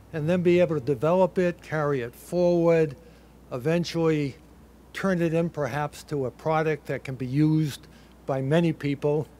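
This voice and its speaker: An older man with a Boston accent giving a measured, reflective ceremony speech from a podium, with a light touch of humor. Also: a little high, a little mellow. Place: ceremony in a building under construction.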